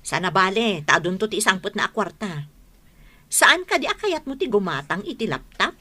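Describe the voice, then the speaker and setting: Evasive, house-proud wife and mother; forceful bright voice, Ilocano accent, radio drama. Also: mid-range voice, big pitch swings, moderate pace.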